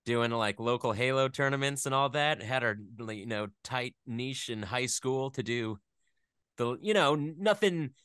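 A man's voice: mid-range voice, moderate pace, a bit bright, clear, resonant tone, dry recording, studio quality. A man, a voice actor, chatting casually as an interview guest, telling stories in a joking, self-deprecating way.

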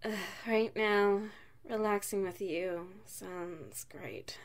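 A woman playing an affectionate, playful wife in an ASMR roleplay, speaking in a soft, intimate manner. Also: mid-range voice, slow. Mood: tender, relaxed. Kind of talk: ASMR roleplay, one side of a dialogue.

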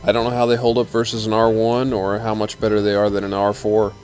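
A man's voice: fairly low pitch, completely flat delivery, quick pace, darkish tone, faint music behind. Easygoing man narrating a trade show walk. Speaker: channel host. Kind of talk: casual walkthrough commentary. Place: large indoor exhibition hall.

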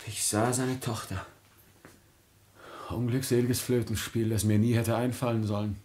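An actor playing a bitter, passionate young nobleman officer in a 1950s German radio play, declaiming deliberately between hush and outburst. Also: mid-range voice, level tone, lightly acted. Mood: brooding.

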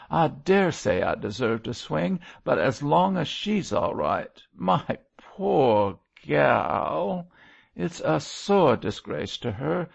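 A man's voice: on the low side, creaky, dull audio, exaggerated voice. A man voicing a coarse, emotional condemned criminal and father in an audiobook, with a growling, rustic working-class English accent. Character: coarse condemned male criminal, gruff, grateful, remorseful father.

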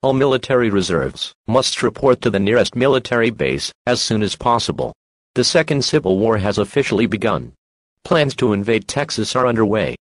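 A man's voice: on the low side, very animated, a little fast, dry recording. A man reading a scripted emergency broadcast announcement in a formal, impersonal manner.

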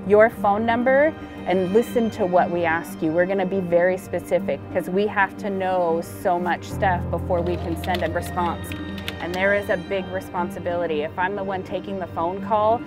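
Casting: American woman, middle-aged, earnest 911 supervisor in a documentary interview, low-set voice. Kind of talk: candid documentary interview about work. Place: dispatch center office, indoors. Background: music.

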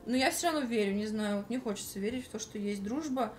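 A woman's voice: on the high side, quick pace, faint music behind. A young woman co-hosting a stream from a living room, reading chat and reacting in an upbeat way. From Russia. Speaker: stream co-host, reads chat. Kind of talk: casual chat.

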